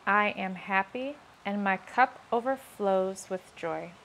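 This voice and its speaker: A woman slowly and calmly leading guided self-affirmations, repeating each line twice. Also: medium pitch, slight background noise, low-quality recording. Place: outdoors on a rainy day.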